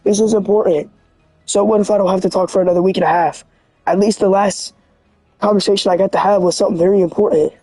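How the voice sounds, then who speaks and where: Hoarse, high-voiced man rambling on a livestream. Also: fast, no echo, faint music behind, low-quality recording. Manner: rambling, earnest and excitable. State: hoarse, scratchy voice. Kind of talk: casual livestream monologue.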